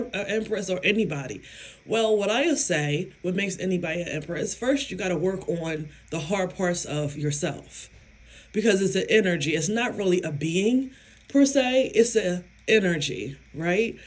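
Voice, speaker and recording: Slow-talking woman with a hoarse, raspy voice. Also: medium pitch. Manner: slow, emphatic, self-affirming, laughs often. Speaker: psychic medium vlogger. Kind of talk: casual talk to camera.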